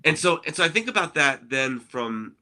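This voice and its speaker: A man's voice: on the high side, big pitch swings, moderate pace, pushed and bright. A man who grew up in Argentina, co-hosting a conversational discussion. He explains ideas earnestly and often checks in with "right?". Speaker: co-host, ministry innovation speaker.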